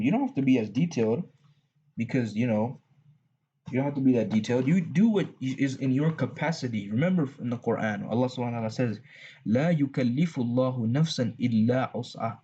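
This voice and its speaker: Texan male host, mid pitch, husky voice, loose rambling delivery, dull home recording. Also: mellow, a little hiss or hum. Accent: Southern US, Texas. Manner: rambling, restarts, frequent asides. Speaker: religious channel host. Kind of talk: unscripted advice talk.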